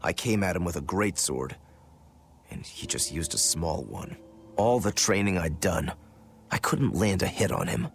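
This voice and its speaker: A man's voice: sits low, lively intonation, unhurried, gravelly, a little hiss or hum, exaggerated voice. A man voicing a plainspoken, brooding demon swordsman in dubbed video game dialogue. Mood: somber, regretful. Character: male swordsman turned demon, brooding, self-critical eldest-rival brother.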